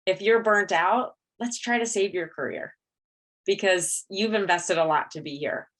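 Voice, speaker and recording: A woman's voice: medium pitch, unhurried, a bit bright. A middle-aged woman who is a dentist, giving a candid, reflective talk at a conference. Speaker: dentist and speaker.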